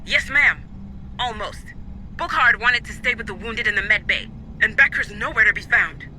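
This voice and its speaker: An actress playing a harried, blunt and loyal military comrade, speaking urgently in a sci-fi horror game. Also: female voice, a little high, moderate pace, pushed and bright, a little hiss or hum, rough recording. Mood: worried.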